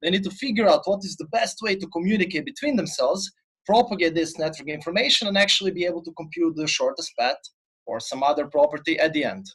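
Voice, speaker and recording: A young man from Croatia, a doctoral student, lays out a technical idea patiently and step by step. His voice sits a little higher than most men's. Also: quick pace, fairly bright tone, breathy edge. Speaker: PhD student. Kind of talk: explanatory interview answers.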